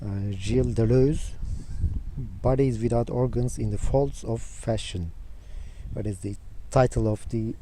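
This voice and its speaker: A young man from Turkey, a native Turkish speaker with a Turkish accent, talking to the camera and then reading an academic book aloud in a careful, unhurried way with occasional restarts. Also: mid-range voice, dark tone, slight background noise.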